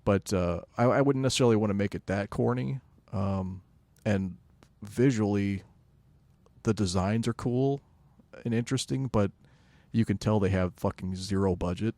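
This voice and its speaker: Low-voiced man chatting haltingly. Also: dark tone, no echo. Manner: halting, rambling, self-correcting. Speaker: podcast co-host. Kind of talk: casual pop-culture chat.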